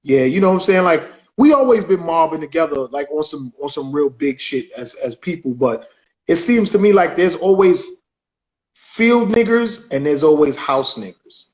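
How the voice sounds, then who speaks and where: A man co-hosting a podcast in casual debate, rambling loosely and shouting out viewers' comments. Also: a little high, animated, normal speed, dull audio. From United States. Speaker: podcast co-host.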